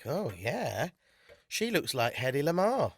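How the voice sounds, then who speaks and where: An actor playing a brisk, experienced male council house-clearance officer in a naturalistic audio drama. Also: fairly high pitch, big pitch swings. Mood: practical, dry. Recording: background noise with ambience.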